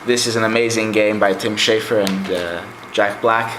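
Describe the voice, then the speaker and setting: A man's voice: fairly low pitch, steady tone, normal speed, background noise. A young man whose first language is Greek gives a casual, rambling review of his game collection, with many fillers. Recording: echoey room.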